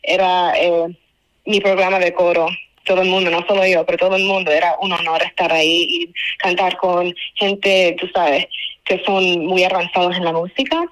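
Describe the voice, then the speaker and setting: A woman's voice: on the low side, level tone, moderate pace, a bit bright, low-quality recording. A young woman from Spain with a Cuban accent, answering interview questions in an earnest, wordy, self-correcting way. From Spain, raised in a Cuban family. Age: young adult. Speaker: student award winner being interviewed.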